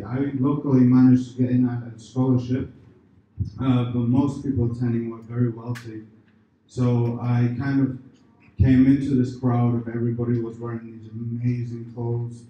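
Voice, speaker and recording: Mellow German-accented man in echoey room. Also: medium pitch, muffled recording. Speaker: entrepreneur giving a pitch. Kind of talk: personal-story presentation to an audience. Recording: very echoey room, distant mic, faint noise.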